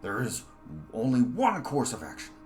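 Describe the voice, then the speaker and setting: A man voicing a forceful, self-righteous leader in a story, rallying followers with emphatic, oratorical speech. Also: mid-range voice, huge pitch swings, normal speed, soft background music, pitched above the performer's own voice. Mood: fervent urging.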